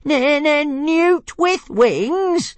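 A man's voice: very high-pitched, expressive, very slow, no room sound, voice pushed up, full character voice. A man voicing a small, boastful male dragon who stutters on the first sounds of his words. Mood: grumpy.

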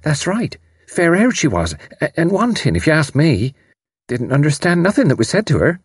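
A man's voice: on the high side, sing-song, moderate pace, husky, dry recording, studio quality, voice pushed up. A man voicing a gossipy, disapproving young English servant girl in an audiobook, colloquial, rambling and hesitant. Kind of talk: audiobook character voice.

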